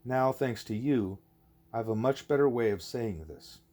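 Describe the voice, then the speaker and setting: A man voicing a wise, patient male teacher in an audiobook, speaking in a deliberate, formal, explanatory way. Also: medium pitch, lively intonation, a little mellow, natural voice. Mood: grave, explanatory. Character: wise, patient teacher creature Ishmael, male, measured and didactic.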